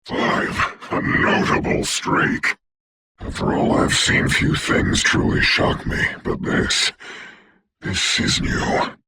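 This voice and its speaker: An actor voicing a commanding, haughty dark sorcerer for a video game, speaking in a formal, grandiose, deliberate way with a gravelly, breathy voice. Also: male voice, a little hiss or hum. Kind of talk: theatrical hero game voice lines.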